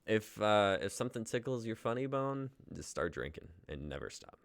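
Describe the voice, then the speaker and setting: A man's voice: lowish voice, very animated, moderate pace, vocal fry, no echo, studio quality. A young man hosting a group livestream in a casual, jokey, informal way. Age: young adult. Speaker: host of a group streaming show. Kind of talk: casual livestream intro banter.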